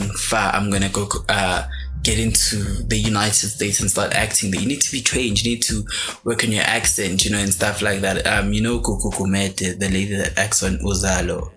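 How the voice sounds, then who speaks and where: Man, casual podcast host, lowish bright voice, chatty storyteller, faint music underneath. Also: normal speed, low-quality recording. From South Africa. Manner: animated storytelling with many fillers. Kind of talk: casual chat.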